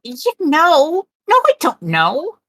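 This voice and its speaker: A woman's voice: high-pitched, wildly swooping pitch, slow pace, a little hiss or hum, heavily acted. A woman voicing an eager, naive young female legal assistant in an amateur dub of a video game. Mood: playful, excitable.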